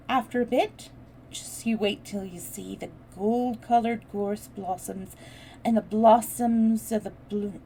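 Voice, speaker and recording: A woman's voice: on the high side, a little hiss or hum, voice pushed up, poor audio. A woman voicing a cheerful young Yorkshire housemaid in a storybook reading, with a put-on broad Yorkshire accent. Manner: broad dialect, chatty and bright.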